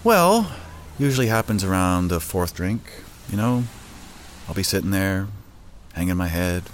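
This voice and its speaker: Deep-voiced, wry, lonely guy. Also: expressive, measured pace. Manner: hesitant, self-deprecating, dry. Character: wry, lonely man shyly angling for company after work. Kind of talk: naturalistic audio drama dialogue. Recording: outdoor city street, faint crowd, traffic.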